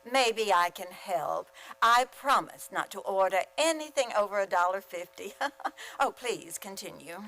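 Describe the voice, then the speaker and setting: A wry schoolteacher in an old-time radio comedy, played by a woman. She is quick-witted and a little lonely, tossing off dry wisecracks in a medium-pitched voice. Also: expressive, fairly bright tone, low-quality recording. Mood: hopeful, wry. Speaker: actor in a community radio play.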